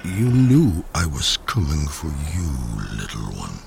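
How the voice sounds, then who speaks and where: A man voicing a wintry flesh-eating demon who lures a child, slow, sinister and coaxing, in a low murmur that breaks into whispers. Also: wildly swooping pitch, vocal fry, slight background noise, exaggerated voice. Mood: menacing, coaxing. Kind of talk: dramatic poetry performance. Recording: music bed under the voice.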